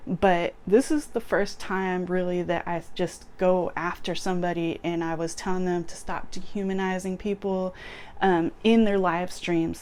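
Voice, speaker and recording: Low-voiced woman casually recounting events. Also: clear, resonant tone. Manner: relaxed, amused, chuckles while recounting. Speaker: journalist narrating her footage. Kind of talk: casual storytelling narration.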